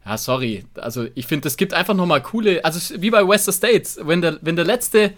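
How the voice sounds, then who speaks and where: A man co-hosting a podcast in casual, friendly debate, halting and rephrasing himself as he goes. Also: fairly high pitch, animated. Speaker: podcast host.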